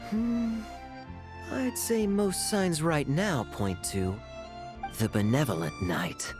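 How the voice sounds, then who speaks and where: A man's voice: on the high side, animated, slow pace, over music. A male actor voicing a suave, sly, amused knight in a video game, with a playful, teasing delivery. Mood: sly amusement. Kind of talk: video game character dialogue.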